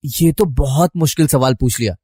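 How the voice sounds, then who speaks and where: A man's voice: medium pitch, moderate pace, warm tone, husky, no room sound, clean studio sound, natural voice. A man playing a shy, dutiful, anxious young college student in scripted story dialogue. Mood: shy, flustered.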